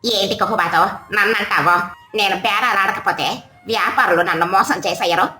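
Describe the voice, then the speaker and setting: A woman's voice: medium pitch, normal speed, bright, forceful tone, reverberant, soft background music, natural voice. A woman voicing a boastful, touchy adult crow who complains dramatically in a children's cartoon story. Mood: indignant. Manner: complaining, indignant. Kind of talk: children's animated story dialogue.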